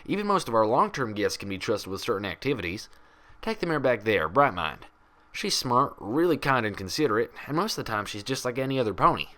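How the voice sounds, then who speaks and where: A man's voice: medium pitch, sing-song, fairly quick, pushed and bright. Man voicing a folksy, frank cartoon pony mare doctor. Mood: frank, explaining.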